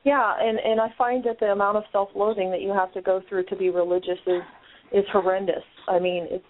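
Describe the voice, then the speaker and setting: Brief: female host, earnest, mid-pitched, phone-quality audio. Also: flat delivery, a little fast, a little hiss or hum. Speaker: podcast host. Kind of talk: casual call-in discussion. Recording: tinny phone-line audio.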